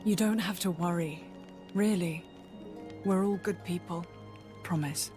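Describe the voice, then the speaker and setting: A gentle, kindly rescuer from a fantasy game, played by a woman, speaks in a soft, soothing way. Music plays clearly underneath. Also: lowish voice, a little slow, lightly acted. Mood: gentle, reassuring. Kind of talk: game cutscene voice acting.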